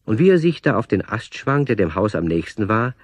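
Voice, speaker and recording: A man's voice: on the low side, very animated, fairly quick, dry recording. A man reading scripted storybook narration as the narrator of a radio play.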